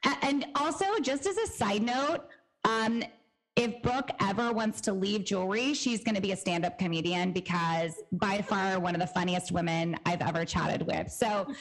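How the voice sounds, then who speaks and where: A woman's voice: medium pitch, normal speed, soft background music, poor audio. A woman hosting a podcast, talking in a bubbly, gushing way. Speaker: podcast host. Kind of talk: upbeat podcast chat.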